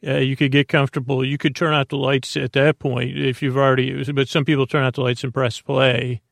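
A man hosting a bedtime podcast in a rambling, meandering monologue, halting and digressive, with a gravelly, creaky voice. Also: mid-range voice, flat delivery, no room sound, clean studio sound. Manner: halting, digressive, repeats and trails off. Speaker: host of a bedtime sleep podcast.

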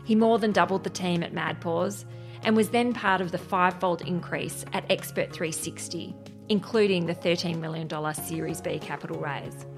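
Low, creaky woman hosting a podcast. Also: steady tone, normal speed, over music. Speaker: podcast host and interviewer. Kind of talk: polished scripted introduction and warm-up interview questions.

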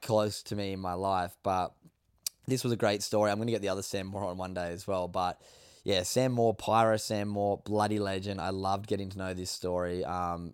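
A man's voice: low voice, smooth. Upbeat Australian man hosting a podcast. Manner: upbeat and chatty, with slangy asides. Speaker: podcast host. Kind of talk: casual podcast chat. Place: home bedroom studio.

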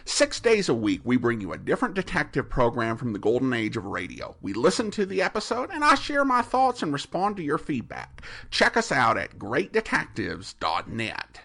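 Animated, creaky-voiced man promoting a podcast. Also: mid-range voice, normal speed. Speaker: podcast host.

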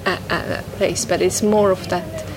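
A woman whose first language is Estonian speaks thoughtfully as a guest, pausing often and restarting her sentences. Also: fairly low pitch, little pitch movement. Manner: thoughtful, hesitant, many restarts and "you know". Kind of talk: reflective conversation about a poem. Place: bookshop, indoors. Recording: noisy public bookshop.

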